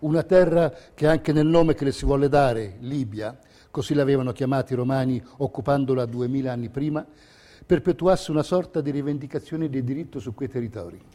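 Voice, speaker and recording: A man narrating a scripted theatre piece as a measured, pointed storyteller. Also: mid-range voice, mellow. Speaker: stage narrator.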